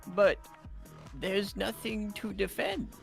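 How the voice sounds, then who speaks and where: A performer voicing a stern courtroom judge who speaks in a halting, formal way, in a fan voice acting of a game. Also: medium pitch, creaky, faint music behind.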